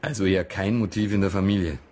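An actor playing a businesslike lead police investigator in a radio crime drama, directive and probing, with a husky voice. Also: male voice, sits low, fast talker, darkish tone. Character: businesslike male police investigator leading the case. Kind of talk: naturalistic German radio crime drama acting.

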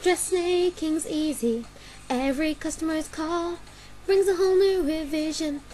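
High-voiced woman singing a cartoon song. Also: a little slow, mellow, low-quality recording. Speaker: fan singer and dubber. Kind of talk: amateur musical-cartoon song cover, singing. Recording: music behind the voice.

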